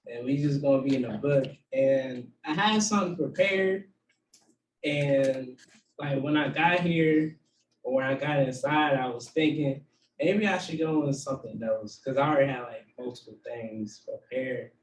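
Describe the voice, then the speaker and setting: Low-voiced man teaching the Bible. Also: moderate pace. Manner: low voice, relaxed with fillers. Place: room with a small group, also streamed online. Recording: echoey room, far from the mic.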